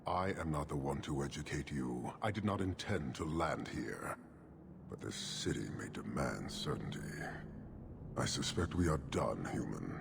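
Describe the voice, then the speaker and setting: Giant horned commander, bottomless bass, gravelly, disdainful, formal, measured, game cutscene. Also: male voice, animated, noisy background, exaggerated voice. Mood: grave contempt. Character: stern, contemptuous giant horned warrior commander. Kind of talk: video game cutscene acting.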